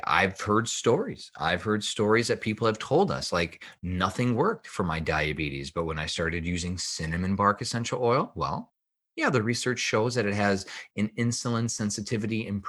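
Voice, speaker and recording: A man with a fairly low voice talks as an expert guest on a podcast. He explains in an emphatic, teacherly manner, often putting a question and answering it himself. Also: fairly bright tone. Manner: emphatic, didactic, asks and answers own questions. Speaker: podcast guest, health researcher and author. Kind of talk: explanatory interview talk.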